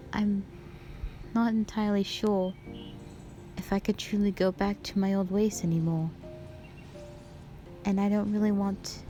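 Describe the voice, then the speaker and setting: Woman playing a slow-speaking, tender dragon wife. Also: mid-range voice, mellow, lightly acted. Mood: fond, grateful. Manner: slow, tender, formal old-fashioned phrasing. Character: gentle, devoted dragon wife, adoring and wistful. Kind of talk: romantic roleplay audio drama acting. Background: music.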